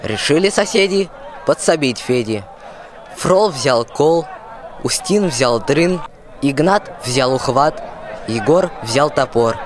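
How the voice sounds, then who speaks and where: A man's voice: mid-range voice, animated, takes it slowly. A young man playing a folksy rhyming storyteller, narrating a radio play. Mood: storytelling. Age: young adult. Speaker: narrator, school student actor. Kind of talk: theatrical folk-tale narration. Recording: noisy crowd hubbub behind.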